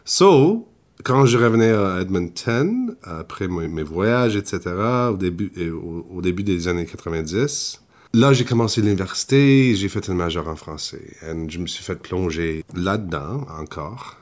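A man's voice: low voice, lively intonation, normal speed. A man from Alberta who plays accordion, talking casually about his life as an interviewee and mixing English words into his French. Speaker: accordion player.

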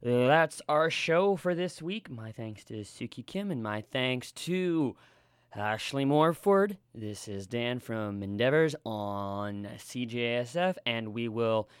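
A man hosting a radio show, asking measured, polite questions and signing off the program. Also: medium pitch, expressive, clear, resonant tone, no echo, clean studio sound. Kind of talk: radio interview and sign-off.

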